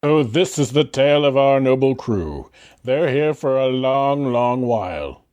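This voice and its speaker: A man's voice: medium pitch, animated, a little slow, a little mellow, natural voice. A man playing a grandiose narrator of a space adventure serial in a dramatic announcer style.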